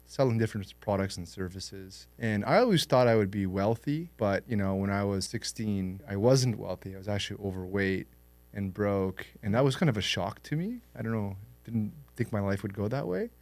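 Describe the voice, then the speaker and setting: A young man born in Lebanon and raised in Canada, telling his life story in a relaxed, reflective way as a podcast guest. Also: on the low side, normal speed, no room sound. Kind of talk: interview storytelling.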